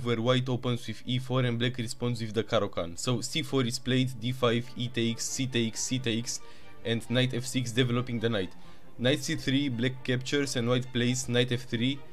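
A man with a Romanian accent narrating chess games move by move, with excited asides. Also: mid-range voice, moderate pace, faint music behind. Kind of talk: chess game commentary.

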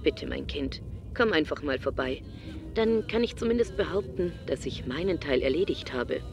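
A woman's voice: low-pitched, expressive, moderate pace. A woman playing a chatty, doting older aunt and police inspector in an audio drama, effusive and nagging. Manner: effusive, nagging family talk. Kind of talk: fan audio drama acting in German.

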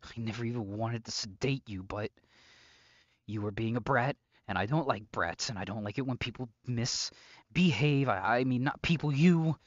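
A man playing an obsessive, unstable captor and lover in an intimate audio roleplay, halting and brooding, swinging between pleading and threat. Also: mid-range voice, no room sound, lo-fi sound, natural voice. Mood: bitter, possessive. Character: obsessive, unstable male yandere captor, menacingly tender lover.

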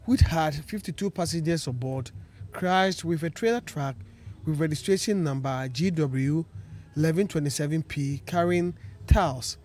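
Guy reading news voiceover, a bit higher voice, steady and formal. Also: moderate pace, a little hiss or hum. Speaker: news reporter. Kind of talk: news voice-over.

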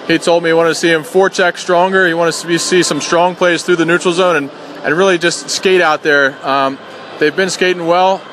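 A man with a fairly high voice reports from down by the glass, pausing and restarting as he relays the coach's words. Steady noise runs under his report. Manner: hesitant, many restarts. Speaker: rinkside analyst. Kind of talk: sideline report.

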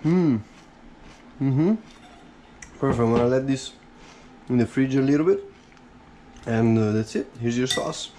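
Slow-talking man cooking in his kitchen. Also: mid-range voice, a little hiss or hum. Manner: relaxed, unhurried, talks while chopping and mixing. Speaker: cooking vlogger. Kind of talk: casual cooking commentary. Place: small home kitchen, indoors. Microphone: head-mounted camera, first-person view.

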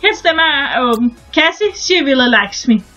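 A young Danish woman with a high voice, talking through a game in a chatty, halting way, often stopping to restart her sentences. Her Danish accent is clear throughout. The sound is a little dull, recorded at home with soft game music underneath. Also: expressive. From Denmark. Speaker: gaming channel host. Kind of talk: casual gameplay commentary.

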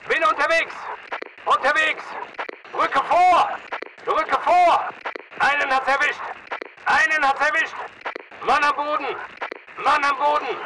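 An actor playing a tough, disciplined mercenary soldier in a video game, giving clipped military commands. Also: male voice, extremely high pitch, distant mic, background noise. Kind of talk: military battle chatter, short barked commands.

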